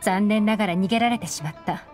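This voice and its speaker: A woman's voice: mid-range voice, quick pace, over music, close to an everyday voice. A woman voicing a shrewd, secretive young intelligence agent in an anime game, composed, knowing and slightly teasing. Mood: cool, calculating.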